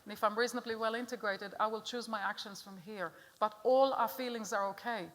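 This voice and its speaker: A middle-aged Israeli woman gives a public talk with an earnest, direct manner and often puts questions to her listeners. Her voice sits a little high for a woman. The sound carries a long reverb from a large hall. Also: fairly even intonation, fairly quick. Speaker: speaker giving a talk.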